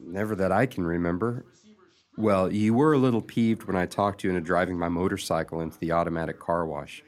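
An actor playing a supportive, lovestruck male friend, earnest and gently persistent, in a radio play. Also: low-pitched, fairly even intonation, fairly quick, close to an everyday voice. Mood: fond, teasing.

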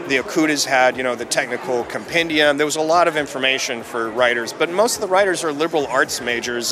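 A man who wrote for television, telling wry behind-the-scenes stories in an interview. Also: mid-range voice. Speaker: TV writer. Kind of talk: convention interview.

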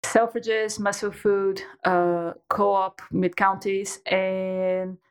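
A woman's voice: medium pitch, level tone, drawn-out words, clean tone. A woman, chatting as an interview guest and telling her story with lots of restarts and fillers. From Brazil. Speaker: guest entrepreneur. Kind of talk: casual interview chat.

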